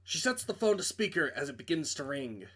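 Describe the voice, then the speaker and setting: A man's voice: on the high side, big pitch swings, fairly quick, a bit bright. Man narrating a visual novel aloud. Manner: stumbles over words and corrects himself. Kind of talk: dramatic reading with off-the-cuff asides.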